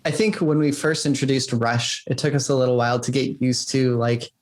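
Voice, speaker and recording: A man's voice: mid-range voice, brisk. A man being interviewed as a game developer, explaining in loose, informal, exploratory phrases peppered with "like". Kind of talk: conversational interview answers.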